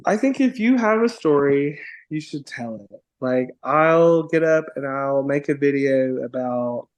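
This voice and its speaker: A man's voice: a little high, animated, unhurried. A man chatting as a podcast guest, upbeat and playful, who slips into grand mock-formal wizard talk and laughs often. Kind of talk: enthusiastic casual chat.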